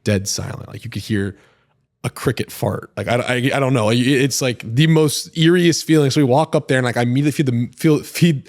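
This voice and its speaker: A young man in a podcast chat, telling a long story in a rambling, animated way with lots of filler words. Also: mid-range voice, brisk, dry recording, studio quality. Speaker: podcast guest. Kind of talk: casual storytelling.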